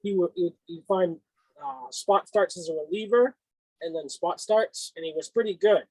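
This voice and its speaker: High-pitched man with a Boston accent. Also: normal speed, darkish tone, no room sound, poor audio. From Boston, northeastern US. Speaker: co-host of a cap and card show. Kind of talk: casual sports chat.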